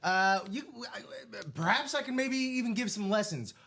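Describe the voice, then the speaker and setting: Man co-host, jokey banter, voice swinging widely in pitch. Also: animated, moderate pace, bright, forceful tone. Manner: playful, jokey interjections. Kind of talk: live stream banter.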